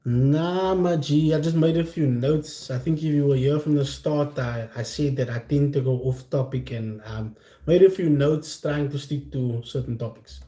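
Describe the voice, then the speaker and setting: A man from Cape Town with a Cape Flats accent, chatting casually and candidly to his livestream viewers in slangy, unscripted talk. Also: a little high, normal speed. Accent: Cape Flats South African. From Cape Town, South Africa. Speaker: content creator hosting a chat livestream. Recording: roomy, echoing sound.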